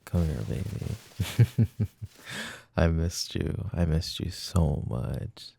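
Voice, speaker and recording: Boyfriend role for a male actor: very low, gravelly, slow and halting, loving yet jealous, intimate ASMR roleplay. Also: lively intonation, warm tone, full character voice. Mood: tender, teasing. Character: loving but jealous, uneasy young boyfriend.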